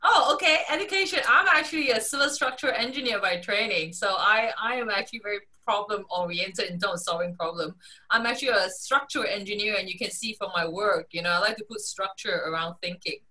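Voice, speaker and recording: A woman in her forties, raised in Singapore, telling her career story as a guest on a video call, animated and quick to laugh. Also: distant mic. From Malaysia, raised in Singapore. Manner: animated storyteller, laughs often, lively pitch swings. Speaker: guest, strategy consultant. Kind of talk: casual video-call interview.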